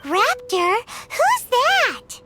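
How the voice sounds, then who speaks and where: A woman voicing a tiny childlike fairy girl companion, chatty and curious, in an animated video game style. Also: extremely high pitch, drawn-out words, over music, exaggerated voice. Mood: curious, excitable. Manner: refers to self in third person, exclaiming.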